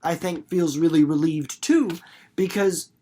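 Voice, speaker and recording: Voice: mid-range voice, unhurried, mellow. A person giving casual read-aloud commentary for students as a teacher, rambling and informal, playfully repeating words and acting out examples. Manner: rambling, theatrical, with acted-out examples and sound effects. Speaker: reader and literature teacher hosting a read-aloud video series.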